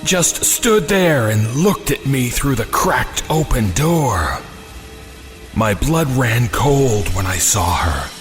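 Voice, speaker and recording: A man's voice: medium pitch, big pitch swings, slow pace. Man narrating horror over music. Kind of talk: scripted first-person horror narration.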